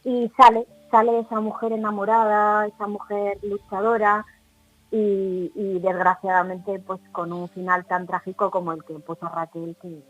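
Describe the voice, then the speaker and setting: A woman's voice: mid-range voice, flat delivery, moderate pace, muffled recording. A woman with a Spain Spanish accent chatting casually as a radio co-host. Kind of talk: casual chat.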